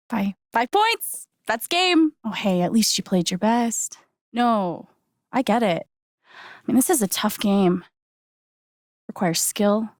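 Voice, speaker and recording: A woman's voice: mid-range voice, a little mellow, no room sound, clean studio sound. An actress playing a candid, wry young woman in a video game, speaking in a plain, conversational way. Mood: earnest, then playful, triumphant. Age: young adult. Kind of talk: naturalistic video game acting.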